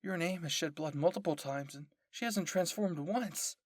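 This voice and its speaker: A man's voice: on the high side, normal speed, dry recording, voice pushed up, lightly acted. A man voicing an anxious, questioning young male soldier in an audio story, urgent and puzzled.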